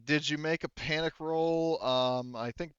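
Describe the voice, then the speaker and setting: A man acts as game master in a loose, conversational style. His voice is smooth and clear, close and dry. He rambles, halts and swears freely as he rules on play. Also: on the high side, steady tone, a bit bright, no echo, highs cut off. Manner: rambling, halting, profane. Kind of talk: casual tabletop game chat.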